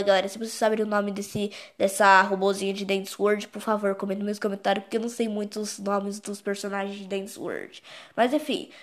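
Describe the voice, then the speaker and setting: A Brazilian woman with a fairly high voice talks casually to viewers as a video creator. The delivery is unscripted and rambling, often circling back and repeating phrases while searching for the next word. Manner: rambling, repetitive, searching for words. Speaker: video creator explaining own animated horror episode. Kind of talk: casual unscripted recap commentary.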